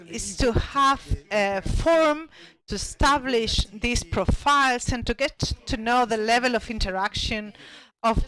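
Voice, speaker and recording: A panel speaker at a conference, presenting study findings in a slow, halting way with frequent restarts. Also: medium pitch, a bit bright, low-quality recording.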